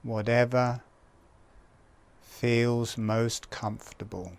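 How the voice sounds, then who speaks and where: Slow, smooth-voiced man guiding relaxation. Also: mid-range voice. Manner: calm, gentle, unhurried, spaced short phrases. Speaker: breathing and relaxation instructor. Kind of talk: guided relaxation exercise instruction.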